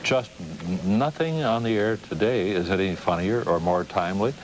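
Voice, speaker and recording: Low-pitched American man over broadcast noise. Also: normal speed. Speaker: television interview host. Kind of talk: casual conversational interview. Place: TV studio interview. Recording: noisy 1990s local TV broadcast.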